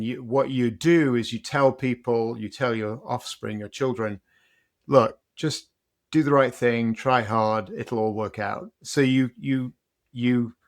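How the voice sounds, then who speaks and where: A middle-aged man with a British accent, a podcast guest telling his story in a reflective, measured way. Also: mid-range voice. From Britain. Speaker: guest, company CEO and author. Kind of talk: interview conversation.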